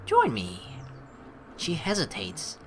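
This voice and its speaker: A woman's voice: low voice, wildly swooping pitch, slow, slight background noise. A young woman doing a playful let's play, switching between casual commentary and theatrical narration read aloud.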